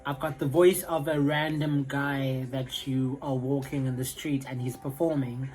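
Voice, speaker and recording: A man's voice: medium pitch, level tone, unhurried, darkish tone, soft background music, low-quality recording. Chatty young South African man. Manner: chatty, rambling, full of "like" and "you know". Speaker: vlogger. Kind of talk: casual chat to camera.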